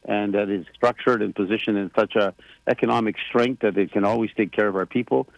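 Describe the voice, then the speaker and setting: A man's voice: fairly low pitch, fairly even intonation, brisk, vocal fry, dry recording, rough recording. A man in his sixties chatting casually on the radio, rambling with many fillers and restarts. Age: 60s. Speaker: president of a government, radio guest.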